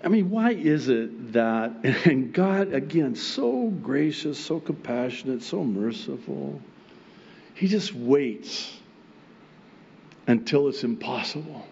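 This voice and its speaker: A middle-aged man whose first language is Arabic, a pastor preaching a sermon from a pulpit microphone on a church stage, animated and chuckling often as he acts out God's replies. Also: mid-range voice, takes it slowly, gravelly, dull audio, a little hiss or hum. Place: church stage with wood pulpit and plants, indoors.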